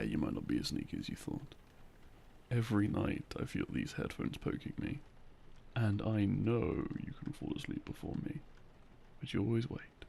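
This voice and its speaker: Gravelly, hushed boyfriend, acted by a man. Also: lowish voice, full character voice. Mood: teasing, curious. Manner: soft, hushed, close and coaxing. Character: loving boyfriend, gently teasing, a little jealous. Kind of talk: intimate ASMR boyfriend roleplay. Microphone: close binaural microphone. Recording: close binaural recording, faint noise.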